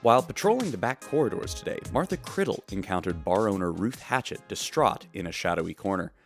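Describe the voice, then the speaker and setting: A man's voice: fairly low pitch, big pitch swings, a little fast, faint music behind. A man playing a radio reporter who narrates shipboard scandals in a dramatic announcer style for a sci-fi audio drama. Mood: announcing, dramatic.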